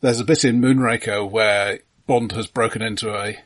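A man co-hosting a film podcast, talking casually but analytically, with a measured, searching delivery. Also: fairly low pitch, fairly even intonation.

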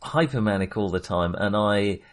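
A man co-hosting a casual film discussion, speaking in a halting, self-correcting way. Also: on the low side. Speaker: podcast co-host.

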